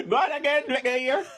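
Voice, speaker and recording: A man's voice: extremely high pitch, fairly bright tone. A man with a Jamaican accent casually and playfully coaching someone through dialect phrases.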